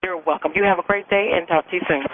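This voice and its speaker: A woman's voice: on the low side, steady tone, fast. An American woman working as an air traffic controller, giving courteous, chatty instructions over aviation radio. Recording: crackly narrow-band air traffic radio.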